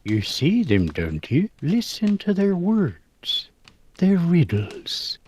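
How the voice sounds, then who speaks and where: A man's voice: fairly high pitch, wildly swooping pitch, takes it slowly, darkish tone, a little hiss or hum, full character voice. An actor playing an eerie, insistent male believer in hidden voices in an adventure game, drawing out his words. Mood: eerie, urgent.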